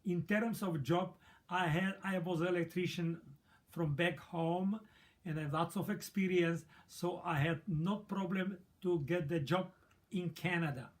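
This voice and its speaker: An older man who learned English later in life, speaking halting English in an interview. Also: sits high, steady tone. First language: not English. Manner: halting, non-native grammar. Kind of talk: interview recollection.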